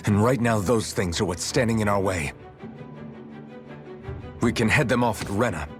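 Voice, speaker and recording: A man's voice: lowish voice, moderate pace, natural voice. An actor playing a determined young male hero in a video game, speaking firmly and resolutely. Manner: firm, resolute. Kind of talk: video game cutscene acting. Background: game music.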